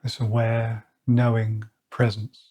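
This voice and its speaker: Soft-spoken man guiding a slow meditation. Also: fairly low pitch, monotone, slightly rough. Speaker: meditation guide. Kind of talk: guided meditation. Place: outdoors or by an open window with birds nearby.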